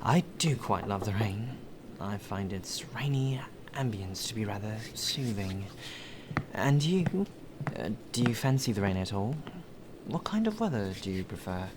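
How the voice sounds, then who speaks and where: A man's voice: on the low side, animated, measured pace. A man playing a polite, deferential butler in an ASMR roleplay, with formal, flowery phrasing. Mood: polite, chatty. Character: courteous, well-spoken male butler, deferential and appreciative. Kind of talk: ASMR roleplay acting, addressed to the listener. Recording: rain ambience and clear background noise.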